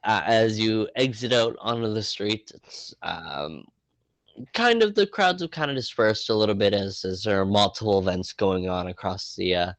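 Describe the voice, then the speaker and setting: A congested man narrating as game master of a tabletop game, halting and full of fillers. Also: on the low side, expressive, no room sound.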